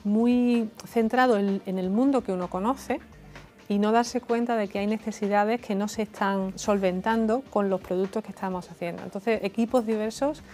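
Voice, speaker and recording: A woman, explaining technology clearly in an interview. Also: mid-range voice, steady tone, normal speed, a little mellow, soft background music. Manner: articulate, explanatory. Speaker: tech expert. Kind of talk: interview answers.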